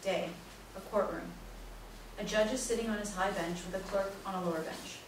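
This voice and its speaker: A woman's voice: mid-range voice, fairly even intonation, moderate pace. A woman narrator reading scripted stage directions at a play reading, recorded in an echoey room. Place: echoey indoor room, far from mic. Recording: very echoey room, distant mic, noisy.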